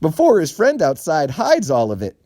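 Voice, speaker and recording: A man voicing an eager, slow-witted young male sidekick in a storybook reading, with a high, drawn-out, excited voice. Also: no room sound, studio quality.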